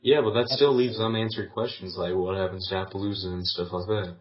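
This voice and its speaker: Texan guy, low voice, butts in a lot, faint hiss on the line. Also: fairly even intonation, fairly quick. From Texas. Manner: eager, interjecting. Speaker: podcast panelist. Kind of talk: casual group chat over a call. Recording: lo-fi call with faint noise.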